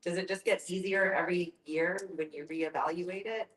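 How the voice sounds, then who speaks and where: Woman, slightly low voice, informal moderator, conversational, casual group talk, roomy echo. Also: steady tone, normal speed, a bit bright. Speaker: discussion moderator. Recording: echoey room.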